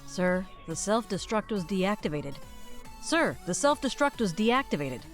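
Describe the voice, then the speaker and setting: A woman voicing a dutiful junior starship officer with a formal, measured manner in video game dialogue. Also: medium pitch, big pitch swings, soft background music. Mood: reporting. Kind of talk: scripted game cutscene dialogue, repeated takes.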